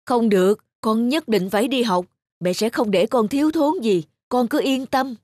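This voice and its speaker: A woman's voice: fairly high pitch, moderate pace, darkish tone, no echo, close to an everyday voice. A woman playing a patient, self-sacrificing middle-aged village mother in a cartoon. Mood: proud, reassuring. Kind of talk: cartoon voice acting.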